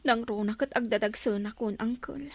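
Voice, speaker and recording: An actress in a radio drama playing a long-suffering, distressed young wife with a plaintive, earnest way of talking. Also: female voice, fairly high pitch, fairly even intonation, normal speed, lightly acted. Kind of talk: Ilocano radio drama acting.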